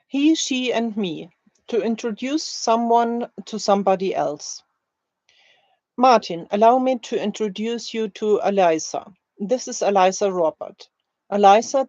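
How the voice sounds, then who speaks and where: A woman language teacher reads short drill phrases slowly and deliberately, leaving careful space between them. Her voice sits at an average pitch. The recording is very dry and a little dull. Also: a little mellow, no echo. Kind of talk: slow, scripted language-learning drill, read aloud.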